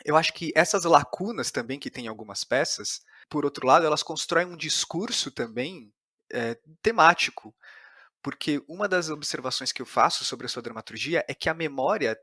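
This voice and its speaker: A man's voice: fairly high pitch, animated, moderate pace, sharp tone, slightly rough, no room sound, studio quality. A man with a Brazilian Portuguese accent interviewing on a podcast, analytical and discursive, often questioning his own phrasing.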